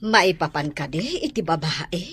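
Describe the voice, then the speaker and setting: A woman's voice: low voice, lively intonation, measured pace, fairly bright tone. A woman acting a caring, anxious rural mother in a radio drama, fretful and coaxing, with a Filipino accent. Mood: concerned, curious. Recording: radio drama with noisy ambience.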